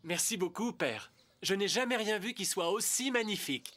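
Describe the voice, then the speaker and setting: A man's voice: high voice, expressive, normal speed, bright, forceful tone. A young man playing a kind, polite, curious prince in a French cartoon dub. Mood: grateful.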